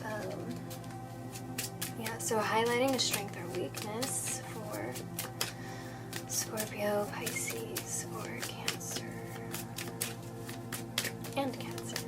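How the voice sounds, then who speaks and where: A woman's voice: fairly high pitch, lively intonation, very slow, pauses often, over loud music, low-quality recording. Nasal-sounding woman reading tarot, chatty. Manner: chatty, reassuring, many fillers and "okay?". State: nasal from a cold. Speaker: tarot reader. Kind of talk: casual tarot reading to camera.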